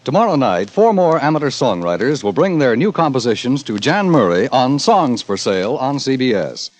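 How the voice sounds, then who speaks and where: Casting: male vintage radio announcer, animated mid-pitched delivery, dry studio sound, scripted promo read. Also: moderate pace, dry recording, faint music behind.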